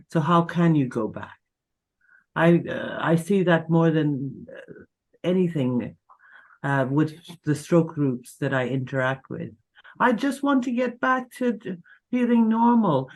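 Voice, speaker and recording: A woman speaking as a guest on an online chat, giving advice deliberately and encouragingly, with occasional stumbles. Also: low-pitched, darkish tone. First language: English. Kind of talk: casual conversational advice.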